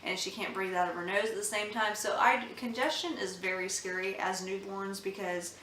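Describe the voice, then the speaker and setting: Brief: young mom vlogger, bright, chatty, earnest, recorded a bit off-mic in an echoey room. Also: female voice, medium pitch, steady tone, moderate pace, recorded from a distance, slight background noise. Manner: chatty, earnest, with many ums and restarts. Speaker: mom vlogger reviewing baby products. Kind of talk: casual product review chat. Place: home room.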